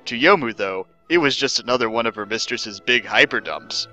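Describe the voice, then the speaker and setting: Bright-voiced man giving a theatrical reading. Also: medium pitch, expressive, fairly quick, faint music behind, low-quality recording. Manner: theatrical, emphatic delivery. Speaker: narrator. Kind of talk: dramatic reading of fan fiction.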